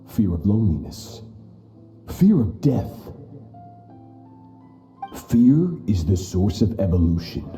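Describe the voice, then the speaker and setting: A cold, merciless sword master talks in slow, very deep, dark tones while his pitch swings widely for emphasis. He lectures with quiet menace in a game cutscene. A roomy echo hangs on his voice, with music faintly behind. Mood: grave. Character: stern, merciless older male sword master. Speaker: game character. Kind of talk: video game cutscene voice acting.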